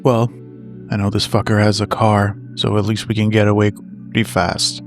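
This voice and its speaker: Man playing a low, monotone, resigned older fugitive. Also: normal speed, lightly acted. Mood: resigned, dry. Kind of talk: in-character tabletop roleplay. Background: background music.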